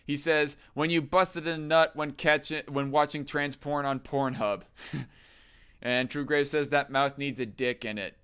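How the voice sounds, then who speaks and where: A man on a livestream who sounds bright and forceful, with a very clean, resonant voice. He argues and mocks in crude, casual commentary. He reads chat comments aloud as he goes. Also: a little high, fairly even intonation, measured pace, clean tone. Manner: crude, mocking, argumentative. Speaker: livestream host.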